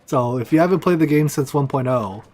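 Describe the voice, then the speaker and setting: A man whose first language is Chinese, casually talking to himself and his viewers while he plays a game, with mumbled asides and excited outbursts. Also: mid-range voice, fairly quick, background noise. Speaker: streamer. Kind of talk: casual gameplay commentary.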